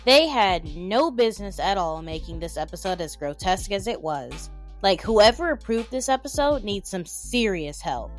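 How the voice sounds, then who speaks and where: A young adult woman delivers casual, opinionated commentary at a medium pitch. Her talk is informal and dotted with emphatic asides, and music plays behind her voice. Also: very animated, moderate pace. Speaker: video essay narrator. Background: background music.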